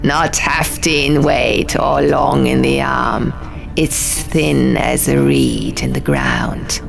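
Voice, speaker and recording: A woman voicing a haughty, menacing male scribe god in an audiobook, with slow, pompous, riddling declamation. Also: very deep voice, lively intonation, vocal fry, heavily acted. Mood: pompous, challenging. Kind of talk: audiobook character voice. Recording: music behind the voice.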